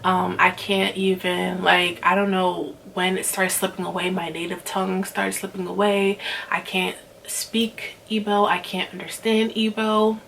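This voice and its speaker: A woman's voice: medium pitch, little pitch movement, measured pace, creaky, a bit bright, a little hiss or hum, rough recording. A woman in her twenties from Nigeria, ranting casually to camera with lots of fillers and restarts. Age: 20s. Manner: rambling, many fillers and restarts. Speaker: vlogger.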